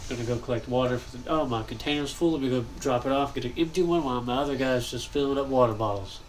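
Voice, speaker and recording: A man's voice: mid-range voice, fast, rough recording. Young man, stuffy nasal voice, rambling game commentary, faint hum behind. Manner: rambling, thinking aloud, frequent coughs and throat clearing. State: congested, nasal. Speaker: gaming channel host. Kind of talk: casual commentary while building in a game.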